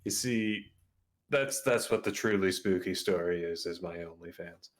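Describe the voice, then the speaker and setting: A man's voice: low voice, moderate pace. A man, a guest on a stream, chatting casually with dry, self-deprecating humor. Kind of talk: casual chat over voice call.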